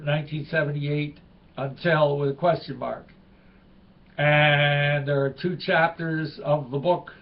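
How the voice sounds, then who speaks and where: Older canadian host, talks in meandering asides, muffled lo-fi audio. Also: male voice, fairly high pitch. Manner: meandering, self-interrupting. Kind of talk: rambling solo commentary.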